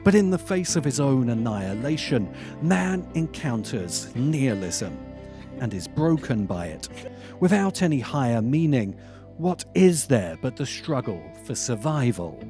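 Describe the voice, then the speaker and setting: A man narrating a scripted video essay as its host, speaking deliberately with dramatic pauses and emphasis. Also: medium pitch, very animated, music in the background. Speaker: host of a film commentary channel.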